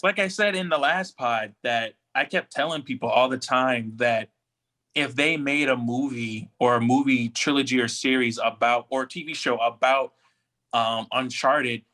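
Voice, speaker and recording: A man's voice: medium pitch, sharp tone. A young man co-hosting a casual chat, speaking emphatically as a keen fan. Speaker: podcast co-host.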